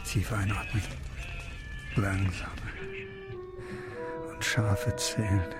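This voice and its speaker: An actor playing a traumatized, grieving undercover agent in a halting inner monologue for a German radio drama. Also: male voice, fairly low pitch, flat delivery. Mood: self-calming. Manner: halting, terse fragments. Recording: music bed under the voice.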